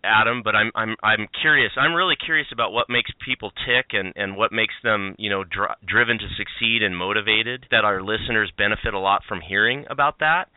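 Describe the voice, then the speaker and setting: Eager podcast host guy, kinda low voice, rambly questions, dry tinny phone sound. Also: a little fast, hardly any pauses, no room sound. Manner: enthusiastic, rambling, many restarts. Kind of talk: casual interview chat.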